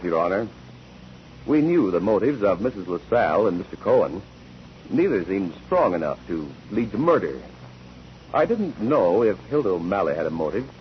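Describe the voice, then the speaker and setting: An American actor playing a sharp, methodical courtroom investigator in a 1940s radio drama, precise and probing. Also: male voice, low voice, very animated, normal speed. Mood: explaining. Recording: dull, noisy 1949 radio recording.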